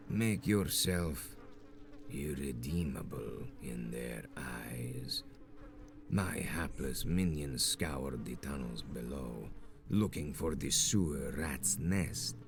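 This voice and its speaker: A man's voice: rumbling low, full character voice. An actor playing a menacing, ancient male vampire sect leader in a video game, speaking in grandiose, solemn, archaic oratory. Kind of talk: dramatic video game villain acting.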